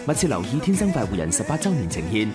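A male storyteller narrating a radio drama. He is playful and theatrical, with very wide swings of pitch, and music is clearly heard behind him. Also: big pitch swings, fast.

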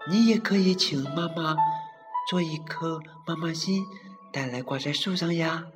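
A man's voice: moderate pace, close to an everyday voice. A man voicing an eager, tearful little boy in a children's storybook. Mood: worried. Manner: high childlike squeal. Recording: reverberant with background music.

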